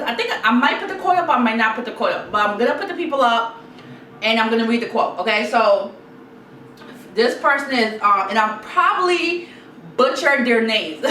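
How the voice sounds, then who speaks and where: A young Guyanese woman talks through a sit-down vlog in a chatty, animated way, and her voice sits a little on the high side. She sounds a bit away from the mic in a roomy, echoey space, with a faint hiss underneath. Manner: animated, chatty, repeats herself, reads quotes aloud. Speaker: YouTube vlogger. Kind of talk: casual sit-down advice chat. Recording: roomy echo, slightly distant mic, faint noise.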